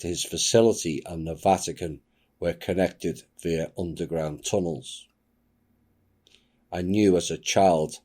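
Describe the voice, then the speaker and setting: Grave male host, very low voice, unhurried solemn reading. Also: warm tone. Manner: grave, measured delivery. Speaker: channel host. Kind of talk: solemn reading of a submitted account.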